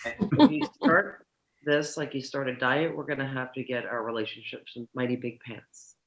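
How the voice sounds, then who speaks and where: A woman playing a sharp-tongued, exasperated sitcom wife who tries hard to sound reasonable, halting and insistent, in a comedic script read. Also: extremely low pitch, echoey room, low-quality recording. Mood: wry. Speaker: sitcom actor at a cast reunion table read.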